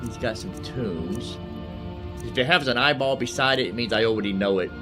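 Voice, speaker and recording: A man's voice: mid-range voice, normal speed. A man hosting a gaming video, giving casual, rambling commentary as he thinks aloud while playing. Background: game music.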